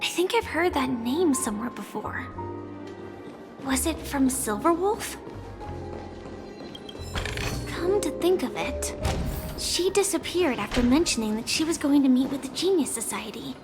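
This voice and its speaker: A woman's voice: sits high, lively intonation, moderate pace. A woman voicing a curious, self-assured young female stowaway in a video game, musing and inquisitive. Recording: background music under the voice.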